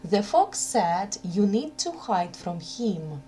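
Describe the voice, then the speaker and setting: A woman's voice: medium pitch, sing-song, slow pace, dark tone. A woman voicing a timid, polite male hare who carries messages in a children's folk tale. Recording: noticeable background hum.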